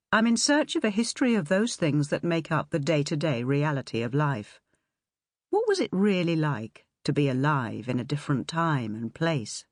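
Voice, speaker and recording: A woman reading a nonfiction audiobook in a measured, articulate way. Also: sits low, no room sound, studio quality. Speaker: audiobook narrator. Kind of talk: scripted audiobook narration of nonfiction.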